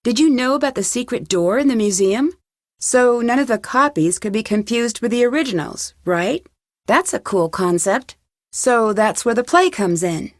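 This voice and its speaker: A woman's voice: fairly high pitch, dry recording, studio quality. An actress playing an inquisitive, polite young woman detective in a video game, speaking in an earnest, questioning way. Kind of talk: video game dialogue acting.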